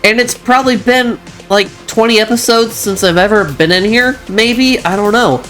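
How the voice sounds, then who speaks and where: A stuffy-sounding host talks through gameplay in a loose, chatty way, often doubling back and restarting sentences. The voice sits at an ordinary middle pitch, and soft music plays underneath. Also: normal speed. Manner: chatty, rambling, self-correcting with frequent restarts. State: stuffy from mild allergies. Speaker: channel host. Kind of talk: casual gaming commentary over gameplay.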